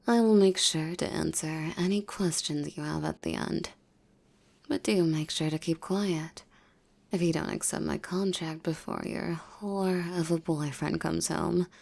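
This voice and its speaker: A woman playing a possessive, menacing female demon in an intimate roleplay, speaking formally and commandingly, coaxing and often breathy. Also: sits low, darkish tone, full character voice. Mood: coldly commanding. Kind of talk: roleplay ASMR acting, intimate monologue.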